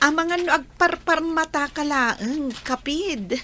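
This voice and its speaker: This is a woman playing a young village cousin in an Ilocano radio comedy. Her voice is high, and she is chatty and skeptical. She questions and teases as she talks. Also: unhurried, bright, forceful tone, slight background noise. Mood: teasing.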